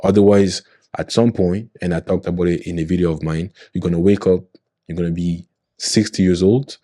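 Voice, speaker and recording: Deep, earnest young man. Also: steady tone, a little fast, dark tone, no echo, clean studio sound. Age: 20s. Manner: earnest, motivational, often checks in with "you know what I mean". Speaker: podcast guest. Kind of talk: casual podcast conversation.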